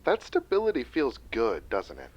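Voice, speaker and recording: Protective, anxious father male actor, drama dialogue, highly varied intonation, dull lo-fi recording. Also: huge pitch swings, normal speed, darkish tone, breathy edge, no room sound. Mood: affectionate. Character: loving, anxious, protective father of grown daughter. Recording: dry, dull lo-fi sound.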